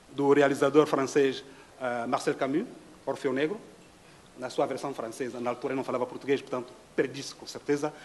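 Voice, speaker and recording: A middle-aged man from Congo speaks at a panel table in a dim hall. His voice sits a little high for a man. He talks in a measured, reflective way, pausing often to find his words. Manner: measured, with frequent hesitations. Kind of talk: formal reflective talk.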